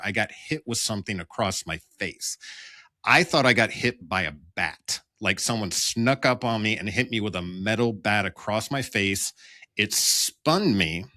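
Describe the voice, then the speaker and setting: A man's voice: mid-range voice, pushed and bright, no room sound. An American man telling a personal story conversationally, in a candid and wry way. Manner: candid, wry, acts out dialogue in his account. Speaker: police officer telling his own story as podcast guest.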